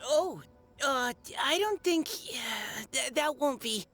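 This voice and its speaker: A woman's voice: sits high, lively intonation, slow pace, fairly bright tone, soft background music, full character voice. A woman voicing a shy, dutiful young knight boy in an anime video game, hesitant and anxious. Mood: nervous.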